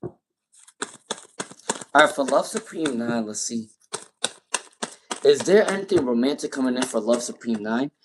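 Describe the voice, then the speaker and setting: A man's voice: mid-range voice, expressive, measured pace, long pauses, background noise. A man with a non-native English accent and a speech impairment reads tarot live for his viewers. His voice sits in a middle range. He repeats each name and question several times as he works. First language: not English. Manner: repeats names and questions while pulling cards. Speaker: tarot reader. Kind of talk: live-stream tarot reading.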